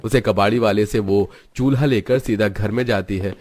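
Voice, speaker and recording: A man's voice: on the low side, moderate pace, darkish tone. A man giving scripted narration of a story.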